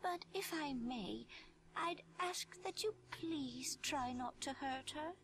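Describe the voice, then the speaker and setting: A woman voicing a gentle, wistful blind old grandmother in a video game, speaking softly and kindly in a measured way. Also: sits high, noisy background.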